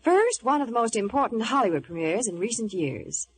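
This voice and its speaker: A woman's voice: on the high side, sing-song, brisk, slightly rough. A woman delivering scripted movie news and advertising in 1950s radio style. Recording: noisy vintage radio broadcast.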